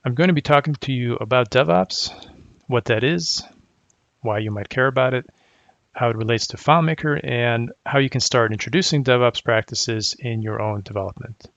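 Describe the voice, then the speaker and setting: Middle-aged man from Croatia, gravelly voice, methodical tech presenter, dry and slightly dull recording. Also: medium pitch, fairly bright tone, no room sound. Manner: measured, explanatory, methodical. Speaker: technical solutions architect presenting. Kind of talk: prepared conference presentation.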